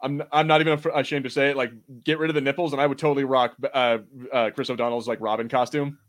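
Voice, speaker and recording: A man's voice: medium pitch, level tone, fast talker. A man co-hosting a podcast, chatting casually and opinionatedly with slang and some swearing. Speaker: podcast co-host. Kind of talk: casual watch-party banter about a film.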